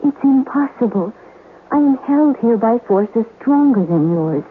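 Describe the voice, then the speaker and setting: An actress playing the ghost of a sea captain's wife in a radio drama, speaking formally and gravely with ominous warnings. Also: female voice, on the high side, lively intonation, measured pace. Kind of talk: 1970s radio drama acting. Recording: thin phone-like old radio recording, hiss.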